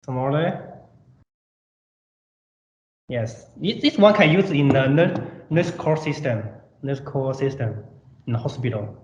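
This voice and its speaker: Slow-talking man demonstrating products, roomy echo. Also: medium pitch, lively intonation. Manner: halting, simple non-native English with repetitions. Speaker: product presenter. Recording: roomy echo, dull sound, faint noise.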